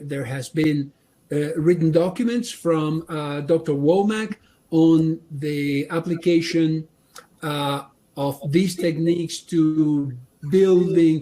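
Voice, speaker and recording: A man presents a manufacturing training session. His voice sits a little high for a man, and he often stumbles and restarts before finding his point. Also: dark tone. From Argentina. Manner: halting, many restarts and fillers.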